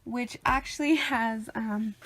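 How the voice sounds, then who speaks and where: A woman's voice: on the high side, fairly even intonation, slow pace, background noise. A sniffly woman rambling casually with lots of ums and uhs as she shows her sketchbook. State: sniffly after a cold. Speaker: artist showing her sketchbook.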